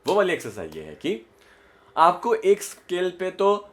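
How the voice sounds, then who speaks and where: Slow, patient man coaching voice exercises. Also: high-pitched, huge pitch swings. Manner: slow, explanatory, repeats a line on rising notes. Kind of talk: casual spoken lesson with vocal demonstrations.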